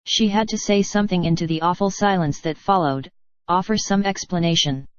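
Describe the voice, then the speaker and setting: A woman's voice: fairly low pitch, brisk, smooth, no room sound, lo-fi sound. A synthetic text-to-speech woman's voice reading an audiobook story in a stilted, odd cadence. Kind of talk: scripted audiobook narration.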